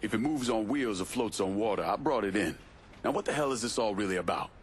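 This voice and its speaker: An actor playing a hard, resolute young crime boss, terse and firm, in a video game cutscene. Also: male voice, fairly low pitch, animated, quick pace, a little hiss or hum, lightly acted. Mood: demanding. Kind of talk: dramatic game cutscene acting. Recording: loud music behind the voice.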